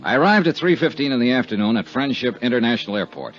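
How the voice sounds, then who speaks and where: An actor playing a wry, probing insurance investigator in a 1950s radio detective drama, with dry, skeptical, clipped delivery. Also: male voice, mid-range voice, animated, fast talker, lightly acted. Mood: crisp, wry. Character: wry, probing freelance male insurance investigator narrating his case. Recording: dull 1950s radio recording, music behind.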